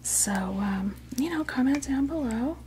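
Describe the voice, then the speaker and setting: A woman hosting an ASMR doll unboxing, chatting casually and softly to camera, gushing over small details in an unhurried way. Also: sits high, lively intonation, slight background noise.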